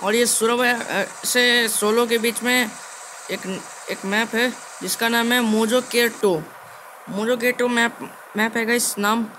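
A young man giving casual gameplay commentary as a video host, halting and repeating his phrases as he goes. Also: very high voice, little pitch movement, bright, forceful tone. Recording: clearly noisy game capture.

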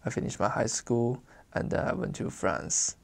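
Gravelly-voiced Chinese man recalling childhood. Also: medium pitch. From China. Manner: halting, searching for words, laughs easily. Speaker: interviewee. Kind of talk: casual life-story interview.

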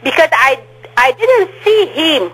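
A woman with a foreign accent giving advice on the phone in halting, simple non-native English. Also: extremely high pitch, big pitch swings, sharp tone, poor audio. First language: not English. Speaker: worker at a home for old people.

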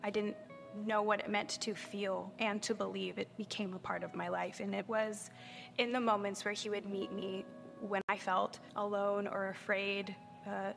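Young woman, sincere faith testimony, flat even delivery, echoing hall. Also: medium pitch, vocal fry. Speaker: church member sharing testimony. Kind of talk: reflective personal testimony. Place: large bright indoor hall, seated interview. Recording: reverberant hall with soft music behind.

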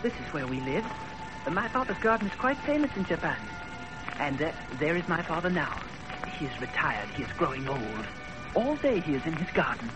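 An eager, courteous young Japanese son, high in pitch and very lively in melody. He is slightly hesitant, in an old 1930s radio drama with music behind. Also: a little fast, poor audio. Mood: welcoming.

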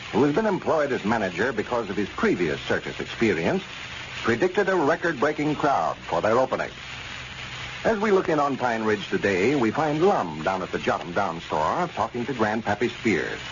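A man's voice: on the low side, big pitch swings, normal speed. A man announcing in 1930s radio style, with folksy, polished sales patter and scripted narration. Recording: muffled, noisy old radio recording.

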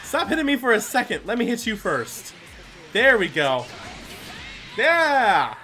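A foul-mouthed, excitable man giving casual commentary on a video game as he plays. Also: extremely high pitch, unhurried. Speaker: gaming video host. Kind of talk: casual let's play commentary. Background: game music.

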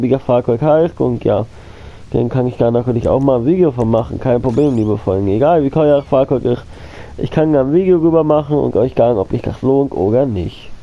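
Guy with a cold chatting over his game, coughs, kinda dull lo-fi mic, mid pitch. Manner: rambling, repeats himself, addresses viewers as friends. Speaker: gaming channel host. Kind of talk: casual gameplay commentary.

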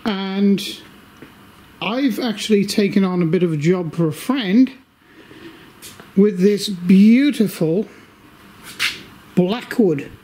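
An older man giving a casual update from his home workshop, talking slowly with lots of ums and restarts while holding the camera. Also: high voice, warm tone, a little hiss or hum. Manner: slow, rambling, many fillers. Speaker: workshop video maker.

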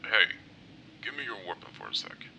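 Gravelly, grumbling wasteland soldier, acted by a man. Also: lowish voice, wildly swooping pitch, normal speed, pushed and bright, heavily acted, low-quality recording. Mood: coaxing. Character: gruff, impatient male masked soldier in ruined wasteland. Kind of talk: amateur comic dub voice acting. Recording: gritty track with background noise.

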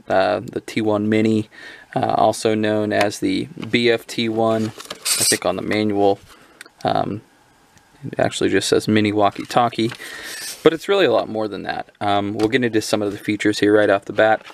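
A man's voice: on the low side, fairly even intonation, normal speed, creaky, a little hiss or hum. A man giving a casual, unscripted gadget overview as a reviewer, explaining things informally. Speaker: product reviewer.

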